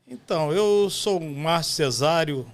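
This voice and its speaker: A man's voice: a little high, unhurried. A man in his fifties with a Brazilian accent from Rio de Janeiro, answering in a conversational interview style as a podcast guest. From West Zone of Rio de Janeiro, Brazil. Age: 50s. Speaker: podcast guest, postal union director.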